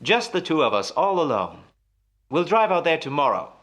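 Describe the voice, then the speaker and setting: A man playing a smooth, solicitous husband in an old-time radio melodrama, soothing and patronizing with a quietly menacing edge. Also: on the high side, sing-song, normal speed. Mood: coaxing, quietly menacing.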